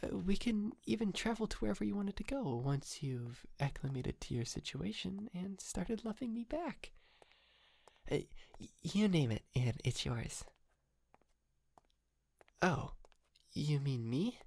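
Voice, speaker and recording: Up close and very dry, a man plays a bashful, lovesick male kidnapper in an ASMR roleplay. He speaks slowly, with a crackly fry in his voice. He stammers and apologises, polite and awkward even while being possessive. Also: high-pitched, no echo, heavily acted. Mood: eager, shy. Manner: hesitant, stammering, nervous giggles, apologetic. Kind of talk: intimate ASMR roleplay to the listener. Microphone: close microphone, dry.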